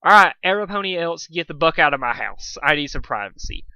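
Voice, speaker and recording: A man's voice: a little high, animated, quick pace, pushed and bright, clear, resonant tone, dry recording, dull audio, pitched above the performer's own voice, heavily acted. A man voicing a blunt, easily flustered young male pony in a storybook reading, emphatic and exasperated. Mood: fed up. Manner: emphatic, protesting.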